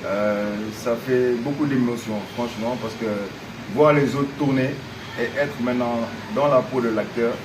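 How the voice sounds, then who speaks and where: A man's voice: medium pitch, measured pace. Hesitant man, far from the mic. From Senegal. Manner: hesitant, many fillers, earnest. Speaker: guest. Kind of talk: interview answers. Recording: distant mic with steady noise.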